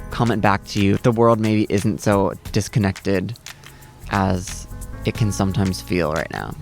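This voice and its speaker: A young man vlogging in a soft, chatty, reflective way, talking outdoors in a city, says "like" a lot. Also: lowish voice. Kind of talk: casual chat and vlog talk to camera.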